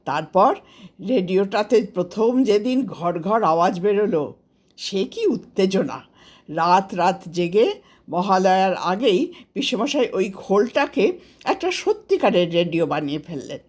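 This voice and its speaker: A woman with an Indian Bengali accent narrating a scripted story of memories in an expressive, unhurried storybook manner. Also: medium pitch. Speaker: author narrating her own story.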